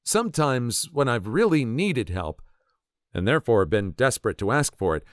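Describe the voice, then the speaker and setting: A man narrates a string of stories in a medium-pitched voice that swings widely and expressively. The sound is dry and close, with no room around it. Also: dry recording. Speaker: story narrator. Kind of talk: reading internet stories aloud.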